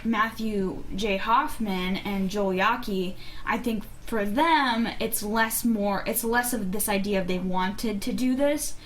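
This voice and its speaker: A woman's voice: medium pitch, slow pace, slight background noise. A woman who grew up in Zambia, co-hosting a casual true crime podcast and explaining cases at length with fillers. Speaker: podcast co-host.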